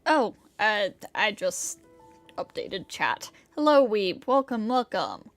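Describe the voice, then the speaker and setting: A woman livestreamer chatting casually and a bit haltingly while playing a game. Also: medium pitch, sing-song, soft background music.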